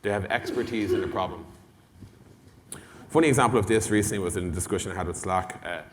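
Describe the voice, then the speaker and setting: A man's voice: deep voice, fast, background noise. A man giving an informal product talk on a conference stage, casual and blunt, as an executive addressing a room. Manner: casual, blunt, mildly sweary, uses acted-out dialogue. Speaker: conference speaker, tech company executive. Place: large indoor conference hall. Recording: long hall reverb from conference stage.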